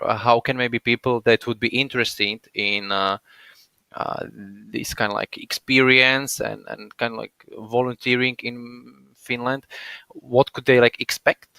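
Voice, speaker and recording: Guy hosting an interview, clean smooth voice, stops and restarts a lot. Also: mid-range voice, fairly even intonation, a bit bright, no echo. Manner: hesitant, halting with restarts. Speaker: host. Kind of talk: interview questions.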